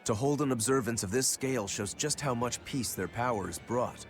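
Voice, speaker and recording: A man's voice: mid-range voice, normal speed, natural voice. A man voicing a laid-back, wry rogue in a video game, casual and curious. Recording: music behind the voice.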